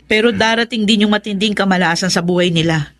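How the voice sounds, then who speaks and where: Actress as a stubborn, argumentative Filipino bride-to-be. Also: female voice, medium pitch, quick pace, fairly bright tone, lightly acted. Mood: cold, fed up. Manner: argumentative, curt. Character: stubborn, superstitious bride-to-be, annoyed older sister. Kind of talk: Filipino radio drama acting.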